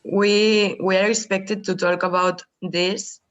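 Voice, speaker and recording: A woman's voice: mid-range voice, flat delivery, takes it slowly, muffled recording. A woman from Spain with a Spanish accent, talking hesitantly on a video call in a student group meeting. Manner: hesitant, thinking aloud, laughs often. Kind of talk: casual online meeting.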